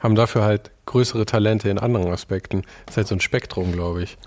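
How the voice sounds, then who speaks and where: Man hosting an interview, lowish voice, easygoing, musing. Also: quick pace, darkish tone. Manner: casual, musing, hedging with "glaub ich". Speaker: host. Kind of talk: casual interview.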